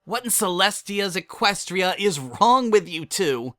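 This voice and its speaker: An exasperated young noble unicorn mare, acted by a man, defiant and outspoken. Her storybook voice is high, bright and indignant, recorded dry. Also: animated, measured pace, dry recording, heavily acted. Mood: outraged.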